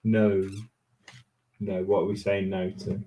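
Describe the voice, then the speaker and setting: A man's voice: low-pitched, level tone, takes it slowly, dark tone. A middle-aged Englishman co-hosting a live craft stream, teasing and joking in casual banter. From England.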